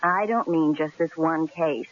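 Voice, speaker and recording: An actress playing a pert, inquisitive newspaper secretary in an old-time radio drama, arch and playful. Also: female voice, low-pitched, unhurried. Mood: curious, probing. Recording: dull, muffled old radio broadcast recording.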